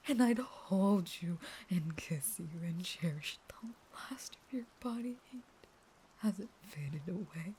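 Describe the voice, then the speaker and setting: A woman acts out a starving female vampire in an intimate audio roleplay, low and breathy, mostly in whispers. She draws her words out slowly, an unstable caged lover who both pleads and threatens. Faint noise sits behind the voice. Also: dark tone. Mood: desperate pleading.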